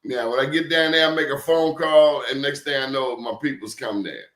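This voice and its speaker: A man's voice: mid-range voice, a little fast, a bit bright, reverberant. A man hosting an interview show, telling stories in an emphatic, preachy way. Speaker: show host. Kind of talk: casual interview talk.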